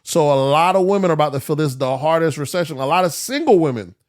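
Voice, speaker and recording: A man's voice: fairly high pitch, animated, quick pace. A man co-hosting a casual podcast, giving his opinions in a slangy, profane, rambling way. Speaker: podcast co-host.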